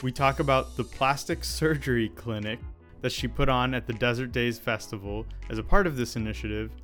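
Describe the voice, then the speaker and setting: A man's voice: mid-range voice, brisk, a bit bright, faint music behind. A man hosting a podcast interview, asking questions in an easygoing, joking conversational way. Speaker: podcast host. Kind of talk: casual interview chat.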